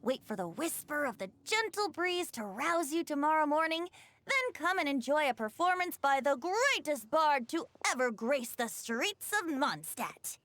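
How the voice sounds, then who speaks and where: A woman's voice: high voice, sing-song, moderate pace, a bit bright. A woman voicing a playful, self-assured young male bard in a video game, light and boyish, with grandiose, flowing speech. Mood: grand, poetic.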